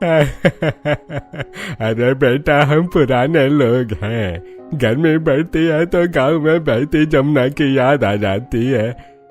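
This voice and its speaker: An easygoing old village grandfather, calling out. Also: mid-range voice. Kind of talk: Hindi cartoon story voice acting.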